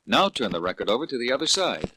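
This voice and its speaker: Animated male children's story narrator. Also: medium pitch, fast talker.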